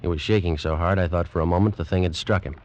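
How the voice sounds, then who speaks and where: Hard-boiled American adventurer played by a man: low voice, determined, terse, dramatic, vintage radio suspense style on a dull recording. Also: steady tone, fast talker, darkish tone, close to an everyday voice. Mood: grim, suspenseful. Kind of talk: old-time radio drama acting and first-person narration. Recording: muffled vintage radio with music.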